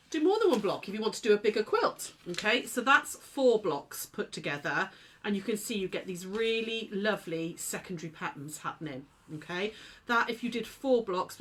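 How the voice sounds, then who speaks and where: A middle-aged woman hosting a live craft stream from her chilly home sewing studio. She sounds snuffly and blocked up, chatting casually with plenty of ums and affectionate greetings to her viewers. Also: medium pitch. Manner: chatty, warm greetings to viewers, many fillers. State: stuffy nose, snuffly. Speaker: craft shop host.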